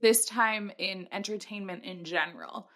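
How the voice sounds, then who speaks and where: A woman's voice: medium pitch, normal speed, sharp tone. A woman co-hosting a podcast, talking in a chatty, informal, self-deprecating way about books. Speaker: podcast co-host. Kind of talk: casual book discussion.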